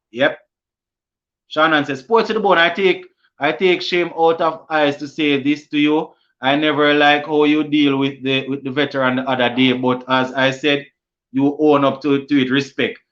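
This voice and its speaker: A Jamaican man hosting a casual cricket live stream, reading viewer comments aloud and answering them, often halting and restarting mid-sentence. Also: on the high side, a bit bright, dull audio. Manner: halting, many restarts and fillers.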